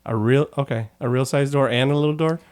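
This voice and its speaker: A man's voice: medium pitch, brisk, darkish tone, clean tone. A man hosting a casual interview in an informal, jokey, rambling way. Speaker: podcast host.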